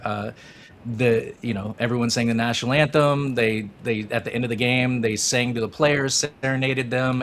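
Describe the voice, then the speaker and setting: A man's voice: mid-range voice, fairly bright tone, slight background noise. A man co-hosting a casual soccer talk show, enthusiastic and rambling, with many fillers. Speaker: podcast co-host.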